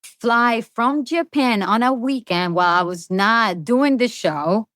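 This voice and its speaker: A woman's voice: fairly high pitch, lively intonation, takes it slowly, a bit bright, no echo, clean studio sound. A middle-aged woman with a Venezuelan accent, a native Spanish speaker, talking casually and at length as a podcast guest. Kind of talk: casual interview conversation.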